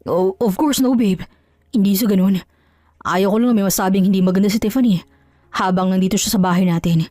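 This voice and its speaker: A woman voicing an earnest, concerned husband in an audio drama, in a lowered, measured voice. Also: mellow, dry recording, soft background music, lightly acted. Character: calm husband, earnest and concerned adult man.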